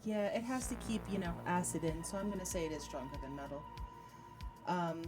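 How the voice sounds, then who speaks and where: A woman's voice: lowish voice, expressive, a little fast. A woman narrating a tabletop game as game master in an informal, giggly manner. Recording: music behind the voice. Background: atmospheric synth music.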